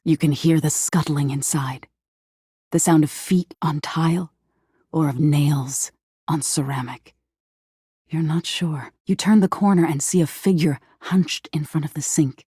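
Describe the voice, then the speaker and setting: A woman voicing a living nightmare in a video game, an ominous yet tender figure who speaks slowly in a hushed, breathy, husky voice, like an intimate storyteller. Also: sits low, no echo, clean studio sound. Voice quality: husky, breathy, often near a whisper. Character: ominous, tender female living nightmare, a dreamy existential dread. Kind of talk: scripted dramatic game narration.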